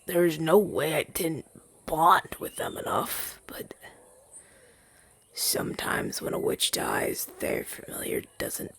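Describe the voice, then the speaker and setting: A man voicing a gentle, wistful demon familiar in an ASMR roleplay, soft-spoken and hesitant, in a breathy, husky half-whisper. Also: a little high, expressive, slow pace, heavily acted. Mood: hesitant, sad. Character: gentle, wistful male rogue demon familiar left masterless. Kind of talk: ASMR roleplay audio drama, softly spoken to listener.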